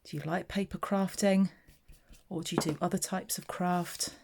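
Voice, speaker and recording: A woman's voice: lowish voice, fairly even intonation, normal speed, vocal fry, noisy background. A woman from England, chatting casually while she demonstrates card making and narrates her own steps. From southeast England. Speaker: papercraft demonstrator. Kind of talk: casual craft tutorial chat.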